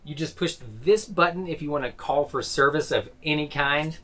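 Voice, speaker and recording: A man's voice: fairly high pitch, fairly even intonation, normal speed, a bit bright. A man vlogging a casual tour of a small sleeper train cabin, dropping dry joking asides. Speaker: travel vlogger.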